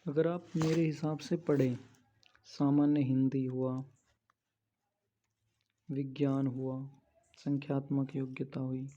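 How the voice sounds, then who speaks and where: A man's voice: on the high side, warm tone. A man giving instructional exam advice as a coaching teacher, going methodically point by point. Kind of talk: instructional study-strategy talk. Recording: dull lo-fi sound.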